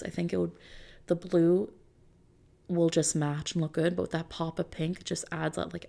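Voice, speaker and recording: A woman doing an ASMR roleplay as a customization consultant, talking softly and unhurriedly to a customer in a gently explanatory, reassuring way. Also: on the low side, creaky. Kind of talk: ASMR roleplay, soft customer-service talk.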